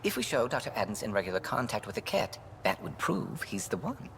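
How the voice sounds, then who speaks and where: A man's voice: mid-range voice, very animated, fairly quick, vocal fry, fairly bright tone, a little hiss or hum. A man playing a wry, shrewd retired alien special-forces major in a video game, speaking with dry, frank irony. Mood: frank, intent. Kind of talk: video game character dialogue.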